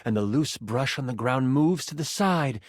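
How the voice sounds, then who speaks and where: A man's voice: mid-range voice, animated, normal speed, no echo, natural voice. A man voicing a game master who narrates a fantasy quest in a video game with theatrical, dramatic delivery and playful asides. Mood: dramatic, suspenseful.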